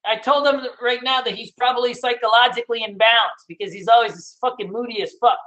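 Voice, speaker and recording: A middle-aged man teaching day trading in a casual, loud and blunt way, hooting and swearing as he talks over a screen share. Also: very high voice, steady tone, fast, low-quality recording. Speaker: trading educator.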